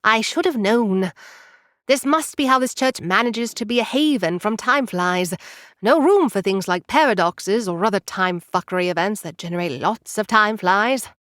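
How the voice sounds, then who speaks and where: A calm advisor with a dry, measured way of explaining, as a woman acts her out. The sound is close and dry with no room. She stays level even when the news is bad. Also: mid-range voice, dry recording, lightly acted.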